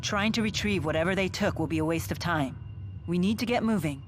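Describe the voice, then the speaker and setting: Woman playing a low, regal warrior woman. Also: a little fast, lightly acted. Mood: cool, resolute. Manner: formal, decisive, detached. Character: stern, regal young woman warrior, coolly pragmatic. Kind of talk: English game dub acting. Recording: loud game music behind.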